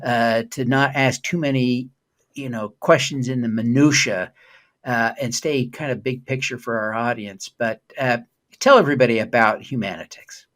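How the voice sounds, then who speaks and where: Male show host, mid-pitched, enthusiastic, reflective, uses fillers. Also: normal speed, fairly bright tone. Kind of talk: podcast interview host.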